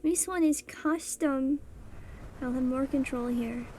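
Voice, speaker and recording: Soft-voiced high young woman streamer. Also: slow pace, slight background noise. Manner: chatty, rambling, self-deprecating, mutters settings under her breath. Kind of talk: casual chat while drawing on stream. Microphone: streaming mic.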